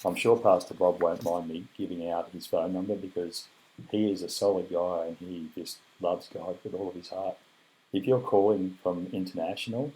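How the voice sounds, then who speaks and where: A man's voice: low voice, warm tone. An Australian man with a scratchy, weakened voice, giving a rambling, earnest video update full of ums and thanks. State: weak, recovering from illness. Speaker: cancer patient giving an update. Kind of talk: casual heartfelt video update.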